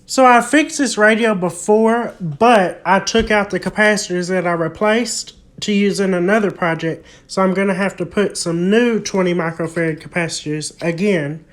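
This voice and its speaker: A man's voice: high-pitched, a bit bright. A young adult man giving a casual, hands-on repair demonstration, explaining each step as he goes. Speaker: hobbyist vlogger. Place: indoor room at home, at a wooden table. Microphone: handheld phone close by.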